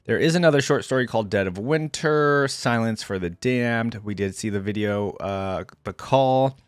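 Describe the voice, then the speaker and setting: A man's voice: on the low side, normal speed, clear, resonant tone, no echo. Casual man reacting, close dry sound. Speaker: reaction video host. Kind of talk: casual chat, reading wiki lore aloud.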